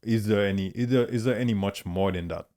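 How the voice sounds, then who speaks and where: Very deep-voiced man. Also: mellow. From Haiti. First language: Haitian Creole. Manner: halting, searching for words. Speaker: guest artist. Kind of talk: casual conversation.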